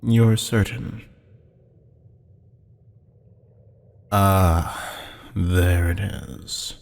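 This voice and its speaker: A man playing a haughty, amused demon buyer in an audio roleplay, with a husky, sardonic, teasing drawl. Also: deep voice, steady tone, drawn-out words, heavily acted. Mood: silky, probing. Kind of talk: scripted audio roleplay acting. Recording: long hall-like reverb.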